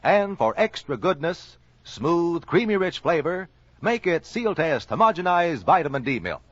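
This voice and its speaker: Lively male announcer on vintage radio. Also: on the high side, measured pace, dry recording. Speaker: commercial announcer. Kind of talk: 1940s radio ad read. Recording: dry, dull old radio recording.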